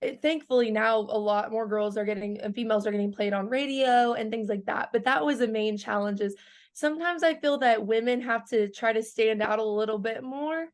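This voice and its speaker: A woman's voice: on the high side, fairly quick. A woman in her early twenties, a country singer chatting casually in an interview, filling her talk with "like" and laughing often. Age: 20s. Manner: bubbly, laughs often, lots of "like" fillers.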